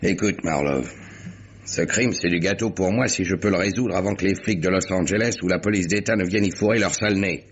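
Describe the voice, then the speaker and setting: Husky, low-voiced, brutal police lieutenant. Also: male voice, fairly quick. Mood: gruff, bullying. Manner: tough slangy talk, curt and threatening. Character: brutal, sneering, impatient male police lieutenant. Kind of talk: French radio crime drama acting. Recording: dull vintage radio recording.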